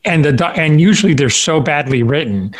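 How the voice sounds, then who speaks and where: A man hosting a podcast interview in a casual, rambling way, often halting as he thinks aloud. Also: a little high, animated. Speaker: podcast host interviewing a guest.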